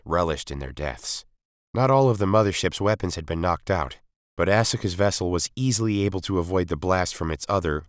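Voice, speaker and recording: Male story reader, low voice, dramatic scripted narration, close dry sound. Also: no echo.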